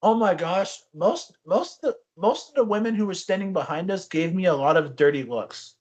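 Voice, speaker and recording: Young male podcast co-host, slightly high voice, chatty and rambling. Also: moderate pace. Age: young adult. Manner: rambling, restarts often, animated storytelling. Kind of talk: casual chat.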